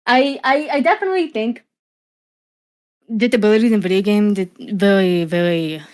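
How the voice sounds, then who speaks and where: A woman's voice: mid-range voice, moderate pace, a little mellow. A young woman streamer, Cuban and living in the USA, talking casually in an interview with a speech impediment from hearing loss, full of fillers and restarts. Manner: frequent fillers and restarts, laughs easily.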